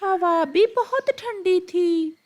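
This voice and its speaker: Woman as a high-pitched, emotional female cartoon bird. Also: takes it slowly. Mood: worried. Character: tender, emotional female bird, devoted companion.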